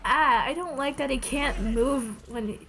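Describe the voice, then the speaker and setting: A woman's voice: high-pitched, a little slow, a bit bright. A woman chatting casually as she plays a game on stream, thinking aloud with playful exasperation. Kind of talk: casual gameplay commentary to stream viewers.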